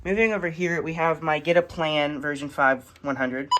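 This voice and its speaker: A collector casually showing items one by one in a halting, list-like way, recorded indoors on a handheld phone. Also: mid-range voice, steady tone, background noise. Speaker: hobbyist collector presenting a radio collection. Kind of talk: casual show-and-tell narration of a collection. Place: indoor room with shelves of electronics. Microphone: handheld phone behind the camera.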